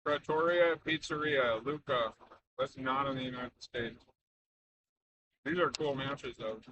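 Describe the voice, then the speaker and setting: A man's voice: mid-range voice, unhurried, pushed and bright, dull audio, a little hiss or hum. A man vlogging in an offhand, wry, musing way. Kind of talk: casual vlog chat.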